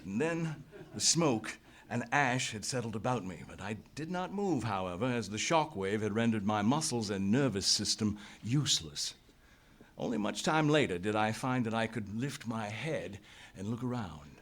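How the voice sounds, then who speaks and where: A man's voice: fairly low pitch, animated, moderate pace. An actor playing a beleaguered, stoic adventurer hero in a live radio play, telling his story in a formal, dramatic way. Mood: tense, dramatic. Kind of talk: live radio play acting, scripted first-person narration. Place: theatre stage, live performance. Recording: noisy live stage recording.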